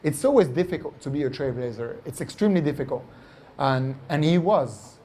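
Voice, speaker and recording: Animated French-accented man, warm voice. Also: fairly high pitch, brisk, a little hiss or hum. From France. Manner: animated, keeps tagging "right?" and "like". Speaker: company founder. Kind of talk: interview answers.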